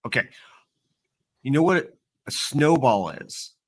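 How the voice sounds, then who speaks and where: A man chatting casually on a livestream panel, explaining things in a halting, roundabout way. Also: medium pitch, animated. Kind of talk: casual chat.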